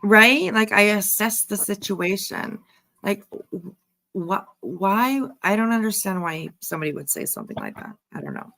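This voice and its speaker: A woman host giving casual reaction commentary, musing in a halting, repetitive, emphatic way. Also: medium pitch, big pitch swings, creaky, sharp tone. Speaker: host giving commentary on a true-crime case.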